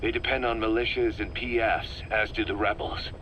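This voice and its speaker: Actor playing a hard-edged, low-voiced mercenary officer. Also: male voice, steady tone, normal speed, a bit bright, a little hiss or hum, natural voice, poor audio. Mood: grave, informative. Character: hardened male mercenary officer briefing his commander, wry, intense. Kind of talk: scripted video game briefing narration.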